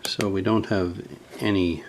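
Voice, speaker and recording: Male repair-video host, unhurried and halting, lowish creaky voice, hands-on tutorial delivery, faint background noise. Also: steady tone. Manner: halting, thinking aloud while working.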